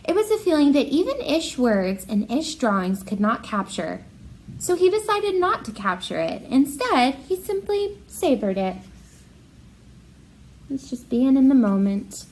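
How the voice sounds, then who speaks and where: A woman with a fairly high, very animated voice reads and chats as an art teacher would to children. Her delivery is expressive and encouraging, addressing young listeners directly as she goes. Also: unhurried, low-quality recording. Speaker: art teacher reading to pupils. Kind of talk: children's picture book read-aloud and encouraging talk.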